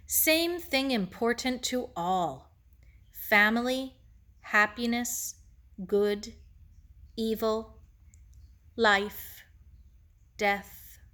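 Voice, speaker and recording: A woman reader gives us an elderly Japanese man from a children's novel, gentle and wise. He talks slowly in short, broken English phrases, measured and philosophical. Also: fairly high pitch. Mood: serene, solemn. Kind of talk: children's novel read aloud.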